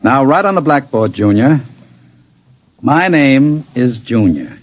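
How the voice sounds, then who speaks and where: An actor playing a suave, scheming criminal, mock-polite and insinuating, in an old-time radio drama. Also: male voice, mid-range voice, animated, takes it slowly. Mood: sinister. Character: suave, scheming male criminal, coolly sinister manipulator.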